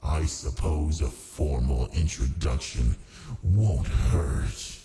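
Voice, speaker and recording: A man playing a haughty, seductive ancient archdemon in an ASMR roleplay, with a gravelly, languid, condescending delivery. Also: a bass voice, slow, mellow. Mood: haughty, matter-of-fact.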